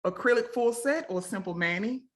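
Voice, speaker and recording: A woman hosting a web interview show on a video call, bubbly and quick to laugh. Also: on the high side, animated, fairly bright tone. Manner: bubbly, laughs often, repeats "very nice". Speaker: host.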